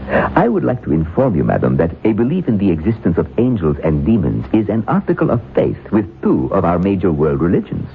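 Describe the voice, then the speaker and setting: A man's voice: low-pitched, huge pitch swings, creaky, exaggerated voice. An actor playing a suave, menacing male occultist author in a 1970s radio drama, talking in a silky, mocking way. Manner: silky, mocking, slow and deliberate. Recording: tinny lo-fi radio broadcast with faint hiss.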